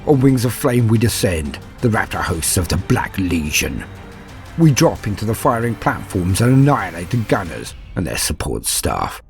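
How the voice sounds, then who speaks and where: Dude voicing an ancient evil war veteran, low n menacing, grand slow-burn storytelling, faint music under it. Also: male voice, big pitch swings, vocal fry. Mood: proud, contemptuous. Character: ancient proud cruel male warrior, contemptuous veteran. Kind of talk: dramatic first-person war storytelling.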